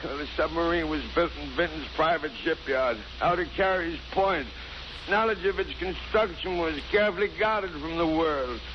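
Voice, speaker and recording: A noisy old radio drama recording of a desperate, guilt-ridden man played by a male actor, pitched high. He sounds frightened and anguished, speaking in melodramatic forties style. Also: normal speed, dull audio, natural voice. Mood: confessing. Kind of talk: 1940s radio acting.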